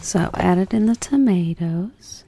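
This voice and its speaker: A soft-spoken woman hosting an ASMR cooking role play, chatting soothingly as if to a guest. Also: lowish voice, expressive, slow. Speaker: ASMR host.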